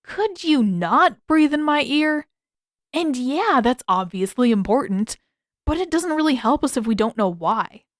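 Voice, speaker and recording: A woman's voice: high-pitched, lively intonation, measured pace, dry recording, studio quality, pitched above the performer's own voice. A woman voicing a sarcastic, irritable teenage boy in an exasperated, teasing manner for a story reading. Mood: irritated.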